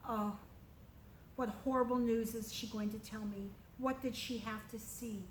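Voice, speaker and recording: Woman playing a fearful mother, soft despairing tone, quiet and weary, echoey room. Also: on the high side, fairly even intonation, a little slow, slight background noise, lightly acted. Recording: roomy echo.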